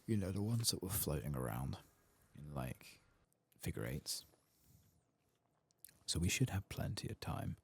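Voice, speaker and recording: A man doing soft-spoken ASMR game commentary, husky and breathy, often slipping into a whisper, with casual, self-mocking muttering. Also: a bass voice, very animated, a little slow. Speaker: host of a game playthrough.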